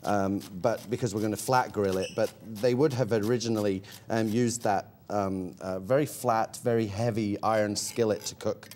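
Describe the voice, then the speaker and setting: A man from Malaysia giving a relaxed cooking demonstration on a TV show, chatty and lingering over his food descriptions. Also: fairly low pitch, moderate pace, hardly any pauses, a little hiss or hum. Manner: chatty, lingering on food words with many ums. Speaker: cooking guest. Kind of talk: casual cooking demonstration chat. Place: TV studio kitchen.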